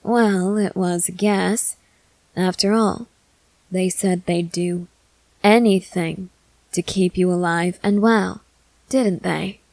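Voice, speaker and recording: A woman voicing a protective, controlling century-old female vampire in measured, formal phrases for an ASMR roleplay. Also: on the low side, fairly even intonation, gravelly, a little mellow, dry recording, poor audio. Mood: coolly coaxing. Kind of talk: scripted ASMR audio roleplay.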